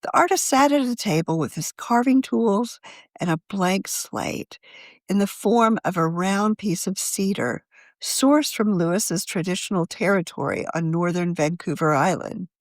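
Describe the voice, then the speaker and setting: A woman reading a written article aloud as a magazine editor, in a measured, journalistic manner of scripted narration. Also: medium pitch, no room sound, studio quality.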